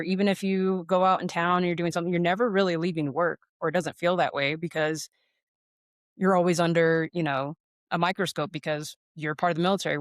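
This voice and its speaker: A woman's voice: on the low side, dry recording, studio quality. A woman from Arizona with an American accent, telling stories in a casual, candid, informal way as an interview guest. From Phoenix, Arizona, United States. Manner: candid, informal, often quotes remembered exchanges. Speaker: interview guest, air traffic controller and former Marine. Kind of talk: casual conversational storytelling.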